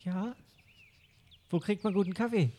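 A man playing a timid, apologetic young detective's apprentice, meek and halting, in an improvised comic audio drama. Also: sits high, mellow, dry recording.

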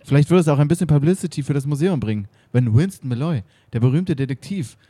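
A man's voice: fairly high pitch, fast talker, dark tone, husky, no room sound. A man narrates and plays parts in an improvised audio drama. His voice sits in a middle range and sounds close and dry. He keeps a playful, giggly tone. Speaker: narrator and actor.

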